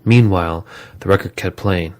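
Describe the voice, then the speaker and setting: A man with a low voice narrates a scripted horror story. He tells it dramatically, as the narrator of an audio drama. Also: normal speed.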